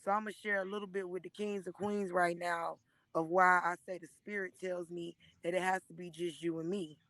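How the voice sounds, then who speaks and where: A hoarse woman in her thirties gives an emotional, preachy testimony on a live stream, speaking into a phone and often calling listeners by name. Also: lowish voice, level tone, brisk, a bit bright, dry recording. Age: 30s. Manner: earnest and preachy, repeatedly addressing listeners by name.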